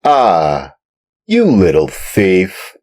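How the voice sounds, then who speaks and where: A man voicing a rough, angry farmer in a storybook reading, speaking loudly, gruffly and threateningly. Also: sits low, expressive, slow.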